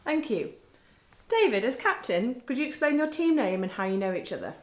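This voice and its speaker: A woman interviewing a quiz team, asking each member brisk, polite questions. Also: on the high side, sing-song. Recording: echoey room.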